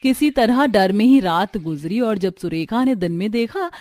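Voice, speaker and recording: A woman's voice: mid-range voice, lively intonation, moderate pace, mellow. A woman narrating a scripted family cartoon story.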